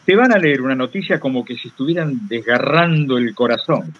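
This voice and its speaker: An older man with an Argentine accent talks reflectively and anecdotally, in a halting, rambling way, repeating phrases for emphasis. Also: mid-range voice, animated, husky, lo-fi sound. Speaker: guest speaker, retired radio broadcaster. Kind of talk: reflective anecdotal talk to a workshop.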